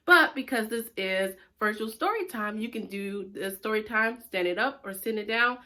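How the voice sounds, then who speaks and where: A woman's voice: medium pitch, normal speed, bright, forceful tone. A woman hosting a preschool storytime, speaking expressively and directly to young listeners as she reads aloud. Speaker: children's librarian and storytime host.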